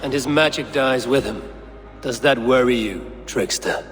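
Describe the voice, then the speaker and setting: A man's voice: mid-range voice, unhurried, a bit bright, lightly acted. Man acting a commanding Norse god-king in echoing halls. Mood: stern. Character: commanding, stern Norse high god and ruler. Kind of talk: video game cutscene acting. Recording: long reverb, music behind.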